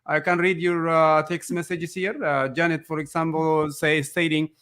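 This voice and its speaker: A man hosting a call-in interview show, with a somewhat high voice. He talks hesitantly, stumbling over words and filling pauses with "uh". He reads out viewer messages and puts questions to his guest. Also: flat delivery, a bit bright, clear, resonant tone. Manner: hesitant, stumbling, many fillers. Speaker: host of an online call-in show.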